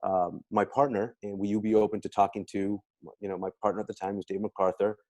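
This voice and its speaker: Casting: American male guest speaker, low register, loose conversational delivery with doubled-back phrases, dry room. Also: steady tone, quick pace, darkish tone, dry recording. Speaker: guest speaker, spine clinic doctor. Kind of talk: casual testimonial talk to an online group.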